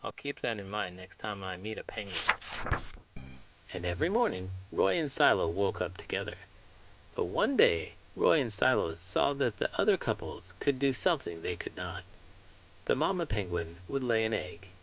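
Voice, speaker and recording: A man reads aloud for children in an expressive, emphatic storytelling manner, as a host and teacher would. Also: fairly low pitch, moderate pace, vocal fry, low-quality recording.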